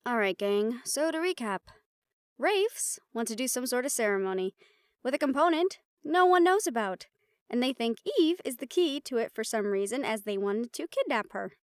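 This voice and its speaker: A woman's voice: fairly high pitch, expressive, moderate pace, smooth, no echo, clean studio sound, lightly acted. A woman playing a playful, sarcastic and tired young team member in a fantasy audio drama, talking casually. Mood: matter-of-fact. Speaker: voice actor in an audio roleplay. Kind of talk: fantasy audio drama roleplay, conversational acting.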